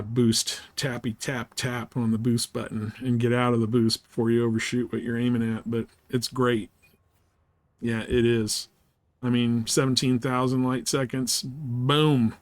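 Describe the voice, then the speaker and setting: Guy streaming a game, normal pitch, relaxed rambly slangy chat. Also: normal speed, no echo. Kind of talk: casual live gameplay chat and commentary.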